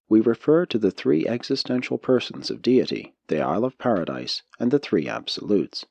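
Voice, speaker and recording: A man narrating a spiritual audiobook in a solemn, measured way. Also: fairly low pitch, darkish tone, no echo, dull audio. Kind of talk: scripted narration of a spiritual text.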